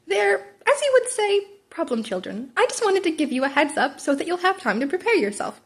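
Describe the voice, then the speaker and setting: A woman's voice: very high-pitched, sing-song, fairly quick, voice pushed up, heavily acted. A woman voicing a gleefully teasing male talking-animal principal in a polite, airy, coy manner for a story reading.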